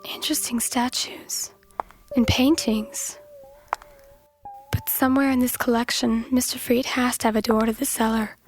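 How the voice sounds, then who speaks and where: Brave, inquisitive young heroine for audio drama, played by a woman; high, whispery, unhurried. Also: fairly even intonation, close to an everyday voice. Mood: hushed, wary. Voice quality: hushed, breathy whispering. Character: brave curious young woman searching a dark cellar. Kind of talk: audio drama acting, thinking aloud. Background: music.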